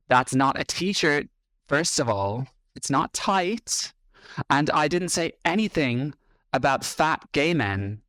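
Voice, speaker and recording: A man's voice: medium pitch, a bit bright, no room sound. Scornful Irish man, dry sound. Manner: scornful, sarcastic and blunt. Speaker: host. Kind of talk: reaction commentary.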